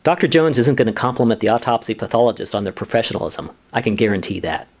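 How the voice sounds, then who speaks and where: A man's voice: on the low side, expressive, fast. A man playing an earnest, defensive commission staff lawyer in a sitcom-style radio play, insistent and matter-of-fact. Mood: wary.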